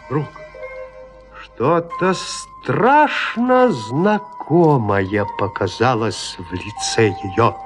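Slow, theatrical male storyteller over music. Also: medium pitch. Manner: expressive, deliberate, theatrical. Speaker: narrator. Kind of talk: dramatic literary narration.

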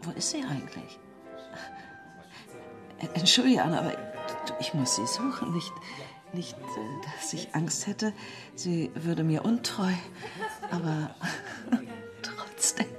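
A flippant woman of the theatre world in a radio play. Her voice sits on the low side and she delivers everything with teasing, breezy flourish, with music clearly playing underneath. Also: big pitch swings, slow, pauses often, exaggerated voice. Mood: wry, amused. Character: flippant, self-mocking woman critic, fond of drama. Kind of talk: radio drama acting.

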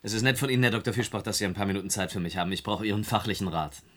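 An actor in a German radio play playing a polite press reporter who asks attentive, probing questions. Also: male voice, fairly low pitch, steady tone, racing, fairly bright tone, a little hiss or hum, natural voice. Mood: polite, inquiring.

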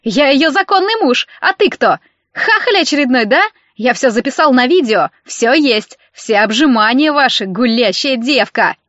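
A woman voicing a drunk, spiteful ex-husband from an audiobook, shrill and whiny, ranting accusations. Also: expressive, unhurried, a bit bright, no echo, highs cut off. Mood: hysterical, accusing. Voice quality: shrill, squealing.

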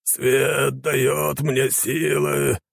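A man voicing a fanatical, dim old warrior of light in an audiobook, chanting slogans in drawn-out shouts. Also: medium pitch, little pitch movement, vocal fry, husky, no room sound, studio quality, pitched above the performer's own voice, full character voice. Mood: zealous, raving.

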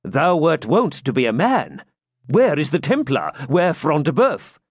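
A man's voice: mid-range voice, big pitch swings, measured pace, no room sound, clean studio sound, pitched above the performer's own voice. A man voicing a shrewd, controlled counsellor to a medieval prince, speaking in formal archaic courtly language. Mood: urgent, cold.

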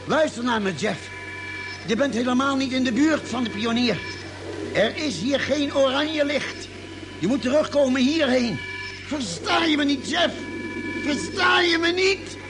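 An actor playing an earnest, grave ship's doctor in a Dutch space radio drama, narrating and speaking with dramatic, clear phrasing. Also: male voice, very high voice, lively intonation, unhurried, over music. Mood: urgent, alarmed.

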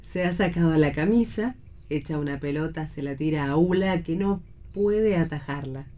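Low, mellow woman narrator. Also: normal speed. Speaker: narrator reading stage directions. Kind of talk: scripted narration.

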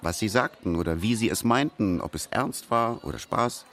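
A man's voice: lowish voice, animated, moderate pace. A man narrating a story for a radio feature in a storytelling style.

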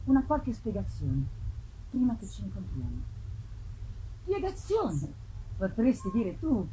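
Casting: female reader as earnest young woman in love, pleading through a letter, high soft-toned voice, background noise. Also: lightly acted, rough recording. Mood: tender concern. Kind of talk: gothic novel dramatic reading. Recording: clear background ambience noise.

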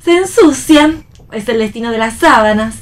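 An actress voices a young Argentine wife in a read stage play. Her voice sits on the high side, and she speaks in playful, teasing banter with her husband. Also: animated, moderate pace, lightly acted.

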